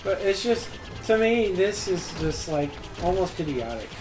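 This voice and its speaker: A man's voice: high-pitched, very animated, a little slow, rough recording. A man chatting casually as co-commentator on a let's play, nitpicking in an exasperated way. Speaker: co-commentator on a gaming video. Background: video game music.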